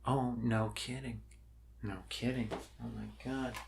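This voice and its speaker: A man in an ASMR role-play playing a friendly doctor who rambles casually and haltingly to reassure an alien patient. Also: medium pitch, level tone, gravelly, background noise. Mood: friendly explaining.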